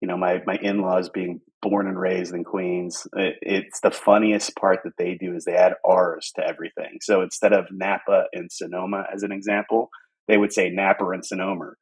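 A man in his forties with a low, husky voice, telling stories in a loose, casual chat. He talks animatedly and often restarts or repeats words as he goes. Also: flat delivery, normal speed, rough recording. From Pittsburgh. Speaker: podcast guest. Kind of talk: casual conversation.

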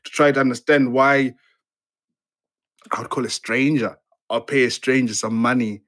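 A man from Zimbabwe, a playwright being interviewed, talking reflectively and haltingly with many restarts and fillers. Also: mid-range voice, steady tone, fairly bright tone. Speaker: playwright guest.

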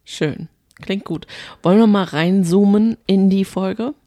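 A woman's voice: mid-range voice, unhurried, mellow, no room sound. A woman co-hosting a podcast, chatting casually in a teasing, playful way. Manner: teasing and playful, laughs often. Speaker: podcast co-host. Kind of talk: casual chat.

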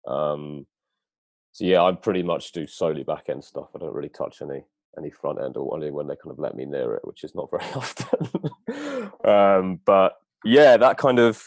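A man's voice: rumbling low, very animated, moderate pace, no room sound, studio quality. A man being interviewed as a guest, talking casually and filling his sentences with "like" and "kind of". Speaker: guest, data engineer.

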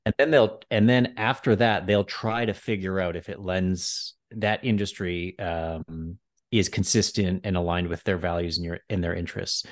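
A male podcast host with a very deep voice. He speaks in a measured way, recapping and framing his guest's ideas. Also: fairly even intonation. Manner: measured, summarizing. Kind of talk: interview hosting.

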